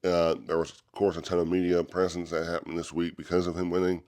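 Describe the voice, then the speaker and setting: A young man with a very low voice and a blocked, stuffy nose from a cold talks through a solo podcast. He keeps the microphone right at his mouth and wanders loosely, restarting sentences and filling gaps with "uh" and "um.". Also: flat delivery, fast talker, darkish tone. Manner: rambling, loose delivery with many fillers and restarts. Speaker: podcast host. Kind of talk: casual solo podcast talk. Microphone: microphone held close to the mouth.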